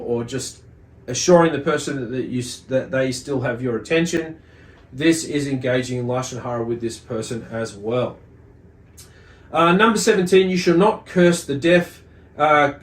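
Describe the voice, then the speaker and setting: A man giving an explanatory religious lesson as a teacher, speaking in a measured way with deliberate emphasis and repetition. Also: a little high. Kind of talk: explanatory religious lesson, reading and commenting on a text. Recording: echoey, roomy sound.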